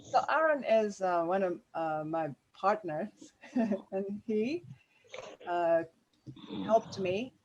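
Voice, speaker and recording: A woman presenting a workshop over a video call, halting and using many fillers. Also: medium pitch, lively intonation, darkish tone, dull audio. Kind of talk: online meeting presentation.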